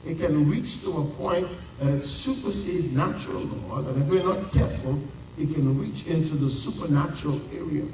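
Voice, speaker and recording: A Bahamian man preaching a sermon as pastor, with dramatic pauses and drawn-out emphasis. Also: on the high side, noisy background. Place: large church. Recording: echoey church heard from a distance.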